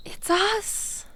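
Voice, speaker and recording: A woman's voice: bright, forceful tone, a little hiss or hum. A woman playing a manipulative, flirtatious toxic ex-lover in an intimate audio roleplay, coaxing and teasing with mock innocence. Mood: teasing, seductive.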